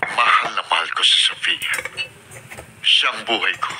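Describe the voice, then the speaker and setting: A man's voice: low voice, normal speed, close to an everyday voice. A man acting a possessive, jealous husband in a Filipino radio drama, intense and insistent. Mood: obsessive pleading. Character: possessive, obsessive, jealous husband, a brooding villain. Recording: noisy radio drama recording.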